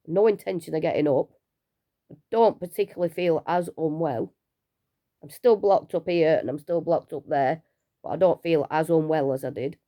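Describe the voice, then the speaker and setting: A woman, unwell and low on energy, talking casually and rambling a little in a personal vlog from home. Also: normal speed, dark tone. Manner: chatty, rambling, self-deprecating with small laughs. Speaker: vlogger sharing her cancer treatment.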